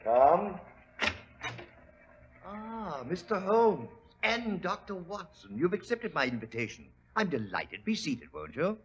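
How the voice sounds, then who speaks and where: An actor playing a suave, formal, grave spice trader in a 1940s radio drama. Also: male voice, high-pitched, big pitch swings, slow pace, full character voice. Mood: courteous. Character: suave, grave foreign-based spice trader, hard of hearing. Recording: muffled old radio recording, faint hiss.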